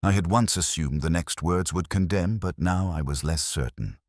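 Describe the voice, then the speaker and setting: Very deep, brooding older detective played by an actor. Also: male voice, darkish tone, no echo, studio quality. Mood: regretful. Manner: measured, literary, brooding delivery. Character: melancholy, reflective older male police detective narrating his case. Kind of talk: scripted first-person audio drama narration.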